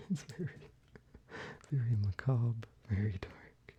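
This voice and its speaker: A man whispering softly into a close microphone in a soft-spoken ASMR video, with quiet chuckles along the way. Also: mid-range voice, takes it slowly, a little hiss or hum. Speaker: ASMR creator.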